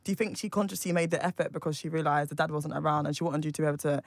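A woman's voice: sits low, steady tone, rapid-fire, no room sound, clean studio sound. A woman in a casual discussion, curious and asking questions. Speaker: panel guest.

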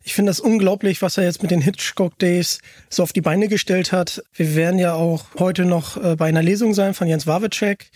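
A man's voice: sits high, fairly even intonation, moderate pace, few pauses, a little mellow, no echo. A man hosting a casual fan podcast, chatting in an enthusiastic way. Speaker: podcast host.

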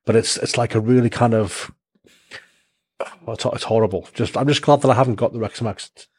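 A man's voice: mid-range voice, brisk, a little mellow, slightly rough, no echo. A man with a light North Welsh accent chatting casually on a podcast, rambling and blunt, swearing now and then. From North Wales.

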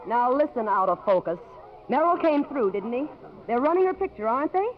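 An actress in 1950s radio drama playing a brisk, blunt, savvy, wisecracking sidekick. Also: female voice, high voice, big pitch swings, slight background noise. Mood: needling. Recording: muffled old 1950s radio broadcast.

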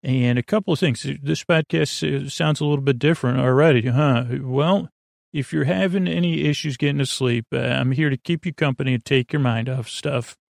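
A man hosting a sleep podcast with a creaky voice, rambling in a soothing, meandering, silly way and fumbling his words as he improvises. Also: medium pitch, normal speed, no room sound, studio quality. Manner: soothing, lulling. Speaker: podcast host.

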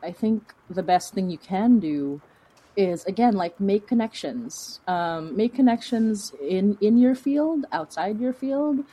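A woman's voice: medium pitch, animated, measured pace, warm tone, breathy edge, rough recording. A woman chatting casually as an interview guest, rambling and informal, with a self-deprecating way of talking. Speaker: fiction podcast creator, interview guest.

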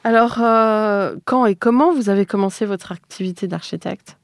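A woman's voice: mid-range voice, normal speed, no echo, clean studio sound. A woman interviewer asking casual, conversational questions.

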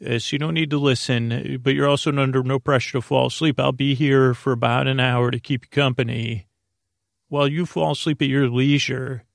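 A man hosting a bedtime podcast in a rambling monologue, halting and meandering as he stammers, droning along and interrupting himself. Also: fairly even intonation, vocal fry, no room sound, studio quality. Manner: halting, meandering, self-interrupting, often stammers over words; droning, low-stakes delivery. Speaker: podcast host.

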